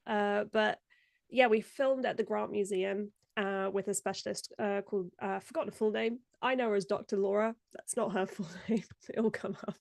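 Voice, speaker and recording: A woman's voice: mid-range voice, fairly even intonation, normal speed, gravelly, dry recording, studio quality. A young woman, a video editor guesting on a live stream, chatting casually and laughing often while sounding stuffed up from a cold. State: congested, stuffed up.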